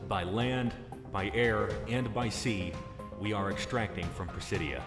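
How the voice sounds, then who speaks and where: A man's voice: fairly low pitch, unhurried. An actor playing a male military commander delivering a formal, urgent mission briefing in a video game. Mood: grave. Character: stern male military commander giving orders. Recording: reverberant game audio with music. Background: game music.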